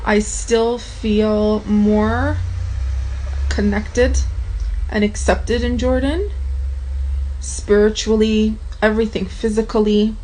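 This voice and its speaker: A woman's voice: medium pitch, steady tone, slow, long pauses, mellow, slight background noise, poor audio. A woman whose first language is Armenian, talking frankly and casually to her viewers in a vlog. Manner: chatty, frank, addresses viewers directly. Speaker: vlogger.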